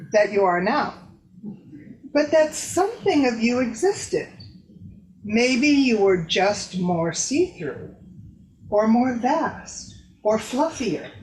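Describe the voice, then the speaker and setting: A woman reading a literary piece deliberately, pausing between phrases. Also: on the high side, low-quality recording. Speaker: literary reader. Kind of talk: staged reading of a personal essay.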